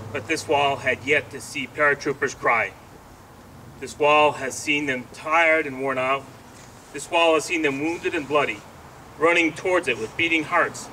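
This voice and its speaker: A man recites poetry with solemn, deliberate emphasis. His voice is bright and forceful and slightly high. There is faint noise around him. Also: distant mic, low-quality recording. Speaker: congregation president reading a poem.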